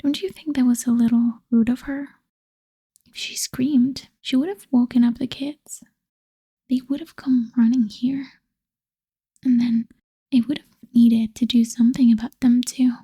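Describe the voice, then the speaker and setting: Woman acting a breathy, whispering obsessive vampire girl. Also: on the high side, fairly even intonation, mellow. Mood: cold, sweet menace.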